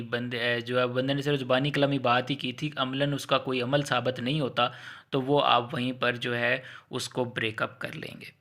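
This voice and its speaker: A man's voice: mid-range voice, bright, forceful tone. A man with a Pakistani accent giving an explanatory law lecture in a conversational, step-by-step way, repeating points and mixing in English legal terms. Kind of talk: explanatory lecture with hypothetical examples.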